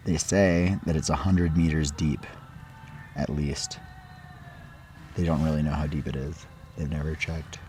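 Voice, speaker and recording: A man losing his voice to a cold, chatting in a relaxed, meditative way as he sketches outdoors beside a hot spring. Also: very deep voice, unhurried, long pauses. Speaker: art vlogger. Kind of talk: casual travel vlog narration. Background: faint local music.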